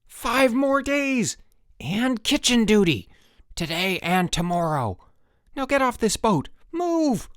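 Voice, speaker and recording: A hot-tempered young camp counselor, voiced by a man reading a storybook, who bellows orders with his voice jumping high. The recording is dry and close, with a gravelly edge. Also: very animated, normal speed, no echo. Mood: shouting. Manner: shouting, bellowing.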